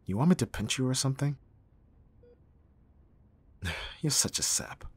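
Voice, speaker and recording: A man playing a gruff but tender young bodyguard in an intimate ASMR roleplay, speaking softly with sighs and a husky voice. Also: mid-range voice, lively intonation, measured pace, no echo, heavily acted. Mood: teasing. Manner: soft, intimate, sighing, teasing.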